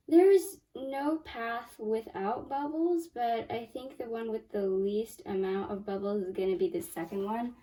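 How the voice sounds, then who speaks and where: A 12-year-old girl with a squeaky voice hosts a casual hands-on science demo, chatting and correcting herself as she walks through each step in a small home lab. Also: warm tone. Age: 12 years old. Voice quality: very high squeaky young voice. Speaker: young science channel host. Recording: voice from a distance off the mic.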